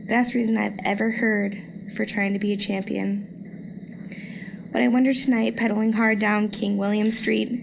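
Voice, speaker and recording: A woman's voice: medium pitch, vocal fry. A young adult woman reading poetry aloud at a live event. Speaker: poetry reader. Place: indoor public lecture event. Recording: noisy live room recording.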